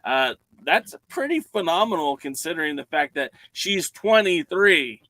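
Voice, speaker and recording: A man's voice: fairly high pitch, sing-song, unhurried, bright, forceful tone, no echo, rough recording. A man hosting a casual podcast, steering the conversation and moving it on to the next topic. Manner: steers the talk, moves topics along. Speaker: podcast host.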